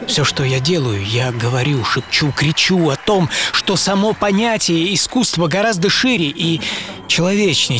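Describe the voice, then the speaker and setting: A man's voice: fairly high pitch, lightly acted. A man playing a wounded German pilot turned artist in a dramatized, reflective first-person radio monologue, speaking in terse, theatrical phrases. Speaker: storyteller. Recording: music under the voice. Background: prominent music.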